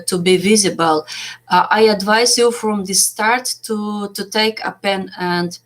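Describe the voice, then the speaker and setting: A woman in her forties with a Moldovan accent, a business coach talking unscripted to her channel, with many fillers and restarts. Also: mid-range voice. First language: Romanian and Russian. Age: 40s. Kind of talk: speaking to camera, unscripted.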